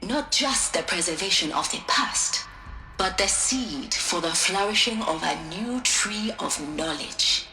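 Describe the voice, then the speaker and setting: A woman's voice: medium pitch, lively intonation, measured pace, bright, forceful tone, reverberant, faint music behind. A woman playing an idealistic scientist in a game, giving a formal, purposeful orientation speech. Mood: earnest, hopeful.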